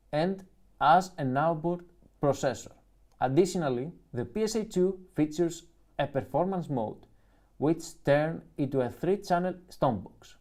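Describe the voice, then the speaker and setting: This is a man with a Greek accent, his voice sitting slightly high for a man. He talks casually as he unboxes a pedal on a live stream. When he reads the manual aloud he halts and restarts, fixing his own slips as he goes. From Greece. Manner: relaxed, halting, restarts and self-corrections while reading. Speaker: music gear reviewer.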